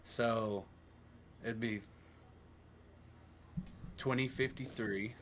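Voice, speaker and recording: A man in his twenties, a teacher and coach, chatting casually on a podcast and working through numbers out loud. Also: medium pitch, drawn-out words, a little hiss or hum. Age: 20s. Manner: relaxed, rambling, works through numbers aloud.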